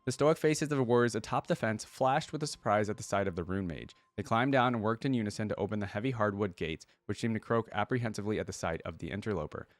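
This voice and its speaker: A man's voice: lowish voice, fast, hardly any pauses, no echo. A man reading a fantasy story aloud expressively and adding casual remarks as a reaction host.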